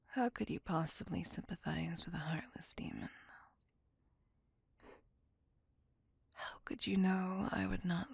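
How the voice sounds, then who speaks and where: Woman doing asmr vampire lady, centuries old, super breathy whispering with some gravel in it, dark n possessive, old fashioned wording. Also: lowish voice, no room sound, exaggerated voice. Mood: menacing, wistful. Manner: slow, formal, archaic, menacingly tender. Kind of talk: intimate ASMR roleplay.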